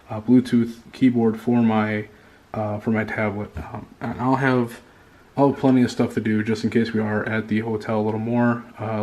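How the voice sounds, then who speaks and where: A man vlogging casually from his condo, rambling and pausing often to find his words. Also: medium pitch, darkish tone. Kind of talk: casual show-and-tell vlog. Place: indoors at home in a condo.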